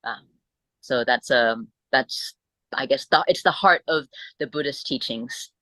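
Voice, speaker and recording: An American woman speaking reflectively as an interview guest, halting as she searches for words. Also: a bass voice, a bit bright, no echo, poor audio. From born in Italy, grew up in the United States. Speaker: interview guest, Buddhist teacher.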